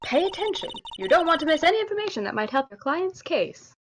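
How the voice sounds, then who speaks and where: A woman's voice: very high voice, big pitch swings, fast, background noise. A woman voicing a composed senior defense lawyer mentor in a video game read-through, with music behind her. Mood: instructive. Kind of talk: voiced visual novel read-through on a game stream.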